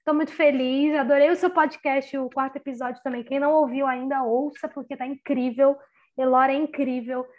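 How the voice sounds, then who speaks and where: High-voiced effusive woman host. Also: fairly even intonation, brisk, muffled recording. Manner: effusive, slangy. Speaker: podcast host. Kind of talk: casual chat.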